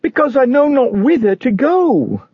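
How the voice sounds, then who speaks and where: A man's voice: very high voice, sing-song, a little slow, husky, no room sound, narrow, tinny audio, voice pushed up, full character voice. A man voicing an earnest pilgrim in a storybook, stammering, emotional and imploring. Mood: anguished, fearful. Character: anguished, pleading pilgrim man, earnest and fervent.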